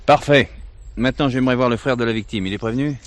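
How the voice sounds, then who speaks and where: An actor playing a cautious, composed police detective in charge of a case, inquisitive and authoritative, in a French radio crime drama. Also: male voice, mid-range voice, quick pace, close to an everyday voice.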